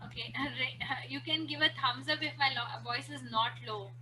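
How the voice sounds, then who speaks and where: A woman teaching an online class over a video call, pausing often with fillers as she searches for words. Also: high voice, bright, forceful tone, a little hiss or hum, rough recording.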